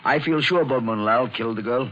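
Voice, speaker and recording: An actor playing an eager, talkative colonial man who hosts a visitor, in a 1940s radio drama, quick to jump to conclusions. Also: mid-range voice, a bit bright, natural voice. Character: chatty, excitable colonial man, a keen host. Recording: dull lo-fi 1940s radio recording.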